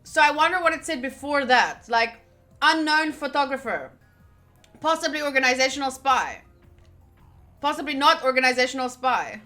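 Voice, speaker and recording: Playful female game streamer, high bright voice, reads story text aloud and reacts casually, music behind. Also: normal speed. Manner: playful, expressive, swears casually. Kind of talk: visual novel playthrough, reading text aloud with casual reactions.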